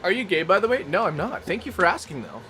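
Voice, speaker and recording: A man's voice: sits high, sing-song, fast talker, pushed and bright, a little hiss or hum. A man streaming a casual chat, rambling to his viewers while making coffee. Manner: chatty, rambling, talks to chat.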